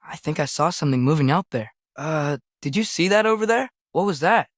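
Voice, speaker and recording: An earnest, curious teenage boy from a fantasy video game. His voice sits fairly high for a male and sounds youthful and conversational. The recording is close and dry. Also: lively intonation, a little fast, no room sound. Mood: curious, eager. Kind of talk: naturalistic video game voice acting.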